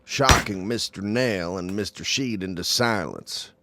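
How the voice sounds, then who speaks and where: A man's voice: fairly low pitch, a little slow, heavy background noise. A man reading scripted narration for an audio drama.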